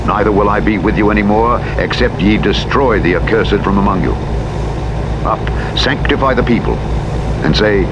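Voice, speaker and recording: A man narrating the Bible in a solemn, measured, formal audiobook style. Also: highs cut off. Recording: loud vehicle engine noise throughout. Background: rumbling truck engine from a driving simulator.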